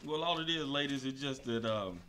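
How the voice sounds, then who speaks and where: A man's voice: medium pitch, fairly quick, pushed and bright, soft background music. A man co-hosting a radio show with casual banter. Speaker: radio co-host. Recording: faint noise behind.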